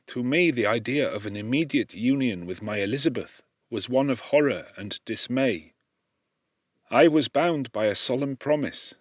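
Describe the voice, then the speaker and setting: A man reading a classic novel as an audiobook narrator, in a measured, expressive storytelling manner with formal diction. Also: medium pitch, dry recording, clean studio sound. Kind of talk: dramatic literary audiobook narration.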